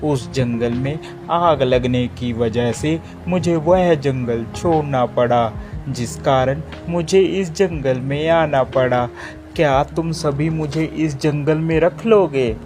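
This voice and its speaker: A man's voice: a little high, slow, music in the background. A man voicing a sly wolf in a cartoon story, with a polite, ingratiating manner. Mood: polite, grateful.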